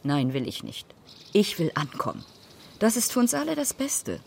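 A woman's voice: mid-range voice, sing-song, normal speed, vocal fry, a little hiss or hum. A woman playing a weary, sardonic mother of two in a naturalistic radio play. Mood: weary resolve.

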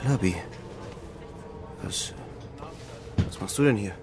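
A man's voice: fairly low pitch, slow, background noise. An actor playing an uneasy male double agent in a crime radio drama, with an understated, guarded delivery. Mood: nervous, defensive. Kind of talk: German crime radio drama, narration and dialogue.

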